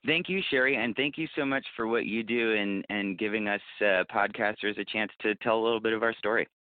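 A man with a fairly low voice talks as a guest in a conversational interview. He explains things in an anecdotal way. The sound is narrow and phone-like, with very little room around it. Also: brisk, smooth, no echo. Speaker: podcast producer being interviewed. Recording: phone-like narrow-band connection, dry.

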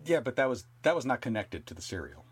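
A man's voice: mid-range voice, very animated, fast talker, slightly rough. A middle-aged man co-hosting a podcast, chatting casually and comedically in a wry, mock-earnest way. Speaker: podcast co-host.

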